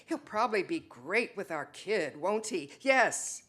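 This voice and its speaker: Woman doing a defensive wife in a stage play reading, super animated, insistent, gets loud then soft. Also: mid-range voice, unhurried. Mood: fond reminiscence.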